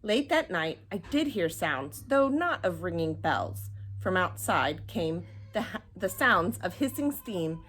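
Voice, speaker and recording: A woman's voice: on the low side, very animated, slow, hardly any pauses, fairly bright tone, a little hiss or hum. Woman reading a children's story aloud. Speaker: storybook reader. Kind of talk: expressive read-aloud narration of a children's story.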